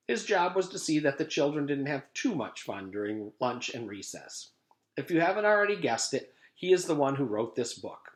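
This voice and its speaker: A man, a teacher, reading a chapter book aloud to his class in an expressive read-aloud manner. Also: a little high, moderate pace. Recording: echoey room.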